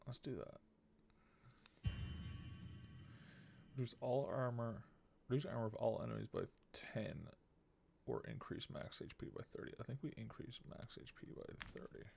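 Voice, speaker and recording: A man's voice: mid-range voice, animated, a little slow, gravelly, pauses often, background noise. A man giving casual let's play commentary, muttering his decisions and reading game text aloud as he goes. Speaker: video game streamer. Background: game music.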